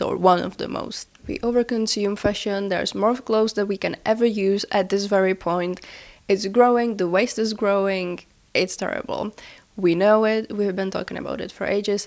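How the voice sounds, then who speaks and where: A woman hosting a podcast in a casual, explanatory style while she has a cold, informal and often rewording herself as she goes. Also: mid-range voice. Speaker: podcast host.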